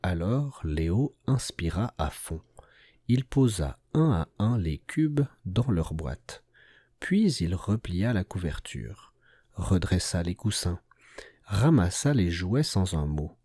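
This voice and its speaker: Slow, low-voiced male storyteller. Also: sing-song, mellow, breathy edge, dry recording, studio quality. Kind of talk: scripted narration of a children's tale.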